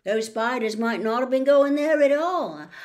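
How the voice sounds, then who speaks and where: A woman's voice: high voice, lively intonation, normal speed, darkish tone, pitched above the performer's own voice. A woman voicing a hesitant, grumbling schoolboy wizard in a storybook reading. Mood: doubtful. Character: boy wizard's best friend, hesitant, resigned, grumbling.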